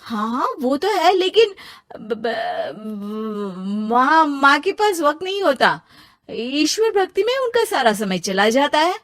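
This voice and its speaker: Put-upon young mom voiced by a woman, anxious, high whiny protests, swingy pitch, cartoon story. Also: wildly swooping pitch, slow pace, fairly bright tone, heavily acted. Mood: worried, plaintive. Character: anxious, put-upon young new mother, daughter-in-law. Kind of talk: animated moral story acting.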